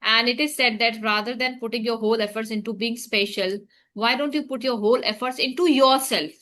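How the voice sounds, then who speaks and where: A woman, interviewing in a casual conversation, asking probing questions and gently making her case. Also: on the high side, brisk. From India.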